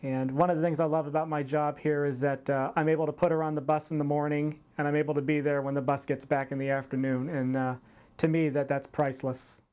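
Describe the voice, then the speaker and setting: A man in his forties talking casually about his work as a college math instructor, in a hesitant, measured manner. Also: a little high, little pitch movement, darkish tone. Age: 40s.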